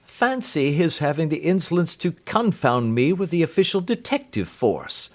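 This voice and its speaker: A man voicing a calm, amused detective who speaks quietly and imperturbably. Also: on the high side, moderate pace, pitched above the performer's own voice.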